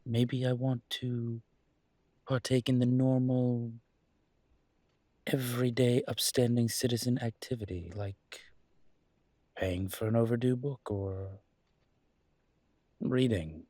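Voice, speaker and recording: A man playing a charming, teasing mafia boss who flirts politely in an intimate roleplay audio drama, with playful pauses. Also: mid-range voice, steady tone, warm tone, dry recording. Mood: smooth, persuasive.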